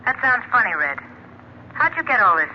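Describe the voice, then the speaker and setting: This is a silky, scheming femme fatale from a 1940s radio play. She purrs her lines breathily, with a voice set rather high. It comes through a thin, tinny old broadcast recording. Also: big pitch swings, a little fast, slight background noise. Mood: flirty, wary. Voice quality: breathy, purring flirtation. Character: seductive scheming woman nightclub contact. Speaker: actress in a radio drama.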